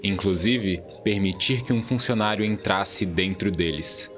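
Guy narrating a story, lowish voice, scripted, soft music under it. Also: measured pace.

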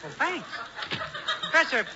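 An actor playing a naive, eager, gullible fellow with an earnest, boyish manner in a 1940s radio sitcom. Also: male voice, very high voice, sing-song, unhurried, full character voice. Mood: earnest, puzzled. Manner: earnest, boyish, easily impressed. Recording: noisy, muffled 1940s radio recording.

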